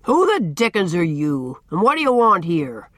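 A woman reading an audiobook and voicing a big, fierce, bullying middle-aged man who booms and barks out questions. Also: very animated, normal speed, dry recording, studio quality. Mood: irritated, demanding. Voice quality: loud, booming. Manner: blustering, demanding, barking questions. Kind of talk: audiobook character voice.

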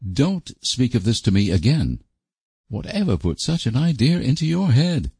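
A man's voice: medium pitch, big pitch swings, normal speed, mellow, husky, dry recording, pitched above the performer's own voice, heavily acted. A man voicing a polite, dignified young woman schoolteacher in a storybook, with a proper, prim manner. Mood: indignant. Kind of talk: audiobook character voice.

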